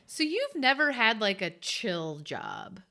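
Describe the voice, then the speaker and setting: A woman co-hosting a podcast with quick, wry, self-deprecating remarks. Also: fairly high pitch, very animated, sharp tone, a little hiss or hum. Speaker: podcast co-host. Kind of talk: casual podcast chat.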